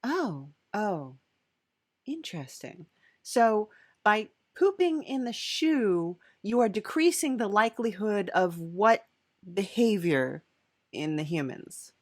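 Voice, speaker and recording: A woman playing an eager, servile devil's apprentice hosting a comedy podcast, gushing, halting and apologetic. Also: medium pitch, sing-song. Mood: eager, chatty. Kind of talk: improvised character comedy interview.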